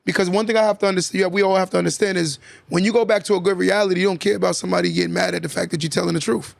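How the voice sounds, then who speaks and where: Gravelly, fast-talking male podcast host. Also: high-pitched, fairly even intonation, dry recording, clean studio sound. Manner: emphatic, lecturing, repeats key lines. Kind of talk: casual podcast talk, motivational monologue.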